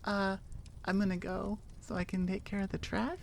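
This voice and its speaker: A woman's voice: mid-range voice, level tone, normal speed, vocal fry, darkish tone, slight background noise. A woman chatting casually and informally as an audio creator, rambling with enthusiasm. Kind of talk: casual rambling outro chat.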